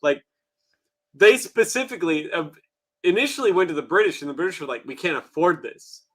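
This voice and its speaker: A man's voice: on the high side, lively intonation, brisk, slightly rough. A man with a Minnesotan accent chatting casually as a podcast co-host, laid-back and swearing freely. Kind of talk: casual chat.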